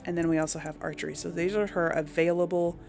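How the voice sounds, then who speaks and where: A woman talks through a video game in a casual, musing manner. Her voice sits slightly low with a noticeable creak, and she hesitates as she thinks out loud. Quiet music plays underneath. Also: fairly even intonation, quick pace. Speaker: video game let's player.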